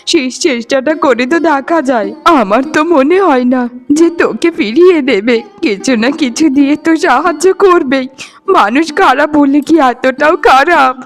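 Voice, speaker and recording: A woman's voice: sits high, close to an everyday voice. A woman voicing a poor, anguished mother and wife in a melodramatic cartoon, speaking in a plaintive lament. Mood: worried urging. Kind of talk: melodramatic Bengali cartoon voice acting.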